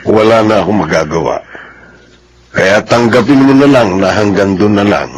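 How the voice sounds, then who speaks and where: An actor playing a grave, self-assured doctor in a radio drama, measured in delivery. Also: male voice, on the low side, close to an everyday voice. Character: grave, sure-of-himself male doctor.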